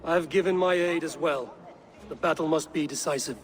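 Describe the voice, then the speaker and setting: A man playing a stern, forthright Viking warrior in a dramatic video game, speaking in a measured, blunt way. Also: on the high side, a little hiss or hum, close to an everyday voice. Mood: formal.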